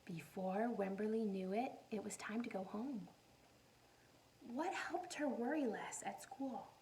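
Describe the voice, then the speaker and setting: A woman teacher reading a picture book aloud to young children, animated and encouraging, often putting questions to her listeners. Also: medium pitch, a little hiss or hum, rough recording. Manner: encouraging, animated, asks questions and pauses for answers.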